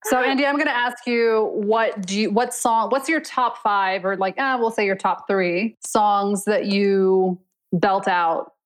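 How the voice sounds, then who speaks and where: A woman's voice: medium pitch, unhurried, poor audio. A woman co-hosting a podcast, talking in an upbeat, playful way and laughing between lines as she explains a game segment. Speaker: podcast co-host.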